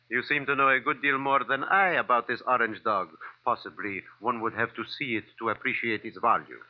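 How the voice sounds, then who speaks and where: An actor in a 1940s radio drama playing a gaunt, evasive émigré antique dealer, guarded, formal and coolly polite. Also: male voice, mid-range voice, fairly even intonation, normal speed, lightly acted. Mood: coolly knowing. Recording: muffled old radio recording.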